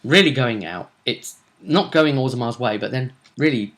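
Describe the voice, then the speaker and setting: A man's voice: mid-range voice, moderate pace, a bit bright. A man, hosting a vidcast and giving a chatty, casual recap of a tabletop role-play tournament. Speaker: vidcast host.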